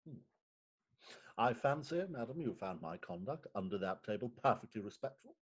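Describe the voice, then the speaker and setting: Casting: man as wronged Victorian magistrate, pompous, theatrical, husky voice with lively swoops, stage comedy. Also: fairly low pitch, normal speed, highs cut off. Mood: affronted. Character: Aeneas Posket, pompous, wronged middle-aged Victorian magistrate husband. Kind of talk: comic Victorian stage play reading.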